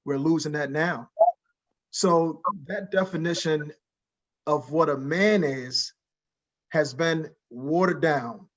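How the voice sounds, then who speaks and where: Dull-sounding recording of a higher-voiced man. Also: takes it slowly, pauses often. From Liberia, West Africa. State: strained voice after weeks of yelling. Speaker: panelist. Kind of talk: reflective panel talk. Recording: dull lo-fi call audio.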